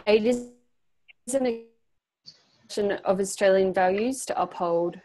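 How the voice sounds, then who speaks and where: A woman's voice: medium pitch, unhurried, mellow, poor audio. A young woman on a video call, coaching a group in an encouraging way and reading a prepared text aloud. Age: young adult. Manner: encouraging, affirming. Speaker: facilitator leading a group practice exercise. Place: small indoor room, on a group video call. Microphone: computer microphone on a video call.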